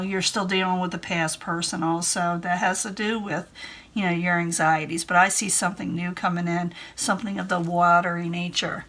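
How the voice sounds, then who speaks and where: A woman giving a casual tarot reading, reassuring and repetitive, who is losing her voice and keeps clearing her throat. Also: lowish voice, fairly bright tone. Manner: reassuring, repetitive, with many fillers and restarts. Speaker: tarot reader.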